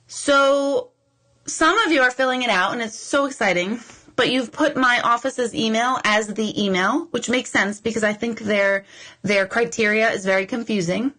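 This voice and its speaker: A woman's voice: a little high, moderate pace, pushed and bright, poor audio. A woman in her forties, a medium hosting a casual live chat with viewers, talking in a rambling way full of asides and mock outrage. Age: 40s.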